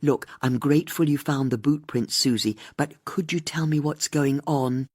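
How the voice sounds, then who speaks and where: Audiobook crime role: weary, rule-bound female detective acted by a narrator, firm, emphatic, slightly husky. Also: mid-range voice, fairly even intonation, moderate pace, a little mellow, dry recording, studio quality, pitched above the performer's own voice, lightly acted. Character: exasperated, firm, by-the-book policewoman.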